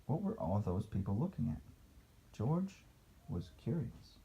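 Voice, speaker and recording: A man's voice: fairly low pitch, very animated, unhurried, gravelly, mellow, muffled recording, a little hiss or hum. A man reading a picture book aloud as a father to a child, playful, with casual asides and questions.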